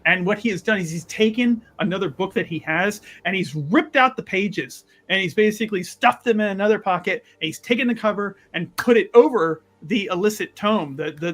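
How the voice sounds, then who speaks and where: A man improvising narration for a tabletop roleplaying game in a wordy, halting way, repeating words as he goes. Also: high voice, fairly bright tone.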